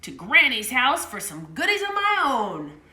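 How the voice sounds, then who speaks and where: A mean, hungry monster truck swaggers through a picture-book tale, voiced by a woman reading to kids. He talks big and boastful in a high, bright, animated voice. The sound carries a roomy echo. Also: measured pace, heavily acted. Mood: swaggering. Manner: swaggering, boastful street talk.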